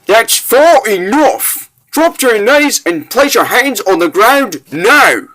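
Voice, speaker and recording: A man's voice: extremely high pitch, very animated, takes it slowly, sharp tone, voice pushed up, full character voice, poor audio. A man voicing a stern, suspicious soldier captain in a comic dub, barking military commands aggressively. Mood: furious.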